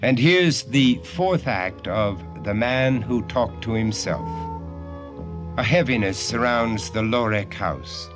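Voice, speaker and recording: A man hosting and narrating a radio drama in solemn, measured, scripted storytelling. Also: lowish voice. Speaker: host and narrator. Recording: music under the voice.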